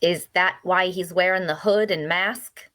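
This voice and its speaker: A woman's voice: lowish voice, fairly even intonation, measured pace, fairly bright tone. A woman playing a chatty, nosy and cheerful traveler in a fantasy audio drama, with a teasing, folksy manner. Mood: curious, friendly. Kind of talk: dark fantasy audio drama table read.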